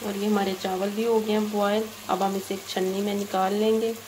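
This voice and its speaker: A woman from Pakistan hosting a cooking channel, talking through a recipe step by step in a plain, even instructional tone. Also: mid-range voice, moderate pace, rough recording. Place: home kitchen. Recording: loud kitchen noise, frying and stirring. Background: sizzling and stirring in a pan.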